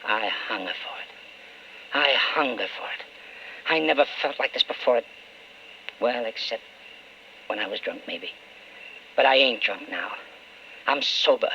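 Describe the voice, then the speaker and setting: An American actor playing a brash, ambitious, folksy candidate and doting husband in an old-time radio drama. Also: male voice, mid-range voice, unhurried, long pauses, sharp tone, a little hiss or hum. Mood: boastful, yearning. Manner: folksy, boastful, eager, calls everyone honey. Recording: muffled vintage radio recording with music.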